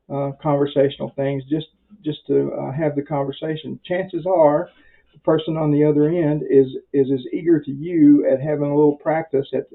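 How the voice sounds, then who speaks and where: A man giving an informal beginner tutorial in a folksy, rambling way, halting often with pauses. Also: a little high. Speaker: amateur radio instructor. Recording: very muffled, dull lo-fi audio.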